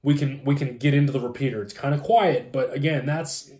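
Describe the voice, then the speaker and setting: A man's voice: medium pitch, a little fast, dark tone. A man in his thirties chatting casually and informatively as he explains things as a co-host, recorded in a room at home. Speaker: co-host explaining ham radio. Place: small room at home, indoors. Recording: roomy, echoey home room.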